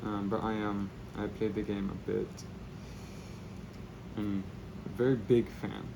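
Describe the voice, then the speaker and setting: A young man chatting casually and rambling in a low-key way while he spray paints. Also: flat delivery, measured pace, vocal fry, noisy background.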